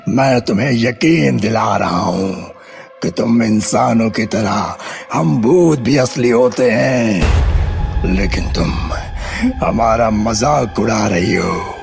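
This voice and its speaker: A man voicing a huge, cruel male ghost in a cartoon horror story, who gloats slowly and laughs menacingly. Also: lowish voice, creaky. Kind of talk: cartoon voice acting. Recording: echoing reverb over music.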